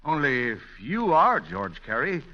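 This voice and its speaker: A man's voice: fairly high pitch, very animated, a little slow. A man playing a tipsy, blustering shipboard con man with stammering, interrupted speech in 1940s radio comedy style. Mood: flustered, chummy. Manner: stammering, hesitant, interjecting bursts. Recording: dull, lo-fi vintage radio recording.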